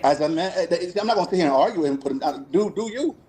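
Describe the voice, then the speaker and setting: Man, podcast host, emphatic, quick delivery, repeats himself. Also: high voice, animated, dark tone. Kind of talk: casual podcast debate.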